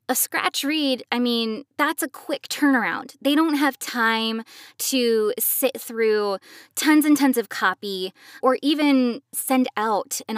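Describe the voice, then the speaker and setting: Higher-pitched woman podcast host, dry studio sound. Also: dry recording. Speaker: podcast co-host, voice acting professional. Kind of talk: conversational podcast talk.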